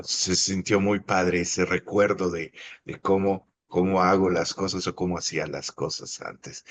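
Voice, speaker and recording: A man moderating an online panel, effusive and full of fillers. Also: low voice. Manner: effusive, warm thanks, many fillers. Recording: faint background noise.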